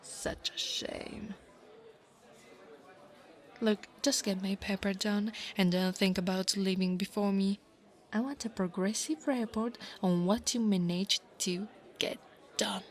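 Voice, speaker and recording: A woman's voice: medium pitch, fairly even intonation, slow, lightly acted. A woman playing an insecure, mocking young female dragon bully in an ASMR roleplay, with a taunting, drawling delivery. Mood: smug, scornful. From Italy.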